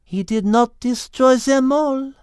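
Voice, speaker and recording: A woman's voice: sits high, a little mellow, smooth, no room sound, clean studio sound. A woman voicing a clever, defiant animal heroine in a dramatized children's audiobook, speaking firmly and accusingly. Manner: firm, deliberate, accusing.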